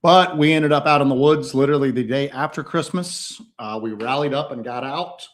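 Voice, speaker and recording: A man co-hosting a casual live stream, joking with viewers, clearing his throat as he recovers from being sick. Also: medium pitch, moderate pace. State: recovering from illness. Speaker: co-host.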